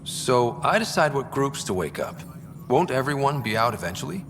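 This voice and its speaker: A man's voice: medium pitch, sing-song, normal speed. A man voicing a young, wry and earnest space explorer in a video game, with a dry, casual manner. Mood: curious. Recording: reverberant, faint background noise.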